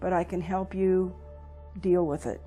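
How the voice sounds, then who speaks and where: An older American woman shares personal recollections in an interview. Her voice is mellow and warm, with a reflective, plainspoken and halting delivery. Music plays quietly behind her. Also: fairly low pitch, fairly even intonation. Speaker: interviewee, veteran's wife. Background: music under the voice.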